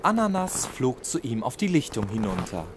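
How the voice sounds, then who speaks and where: A narrator telling a children's bedtime story in an expressive, scripted storytelling manner. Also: medium pitch, moderate pace, noisy background. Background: music.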